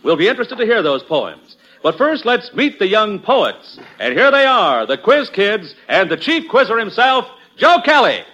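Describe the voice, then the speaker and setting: A man's voice: sits high, sing-song, normal speed, hardly any pauses, pushed and bright. An American man announcing an old-time radio show in a scripted, presentational style. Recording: dull, noisy old radio broadcast.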